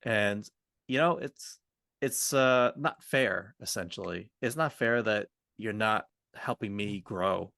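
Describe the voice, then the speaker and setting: A man playing a frustrated employee in a workplace roleplay, complaining haltingly and insistently to his boss. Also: on the low side, creaky, pushed and bright, no room sound, studio quality, heavily acted. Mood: frustrated, pleading.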